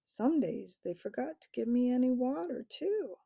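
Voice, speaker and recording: A woman playing a humble storybook donkey, simple and sorry, with a husky, very mellow voice. His pleading sounds close and dry. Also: a little high, very animated, moderate pace, no echo, dull audio, pitched above the performer's own voice, full character voice. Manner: apologetic and humble. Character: meek, simple male donkey.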